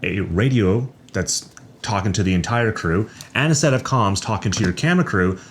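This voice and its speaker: Low-voiced man narrating a review, some noise. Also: animated, normal speed. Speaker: sound recordist and product reviewer.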